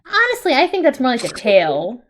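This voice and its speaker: A woman reads a web comic aloud on a stream. Her voice is high and very lively in pitch, slipping between narration and casual banter. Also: moderate pace, a little hiss or hum.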